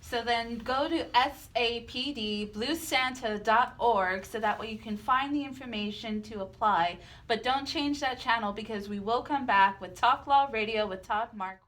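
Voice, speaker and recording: A woman's voice: on the high side, normal speed, few pauses, fairly bright tone. An American woman presenting a radio show as co-host.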